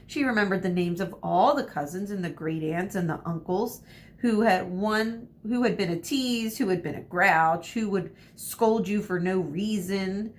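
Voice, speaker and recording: A woman teacher reading a storybook aloud expressively and deliberately, pausing to explain to her listeners. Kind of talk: storybook read-aloud with short asides. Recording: noisy with low rumble.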